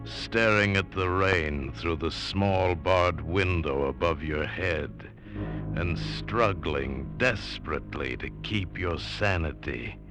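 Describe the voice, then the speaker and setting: An ominous narration for an old radio thriller. The voice is very low and slow, grave and dramatic, over prominent music. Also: male voice. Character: ominous narrator addressing a condemned listener. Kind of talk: 1940s-50s radio thriller teaser.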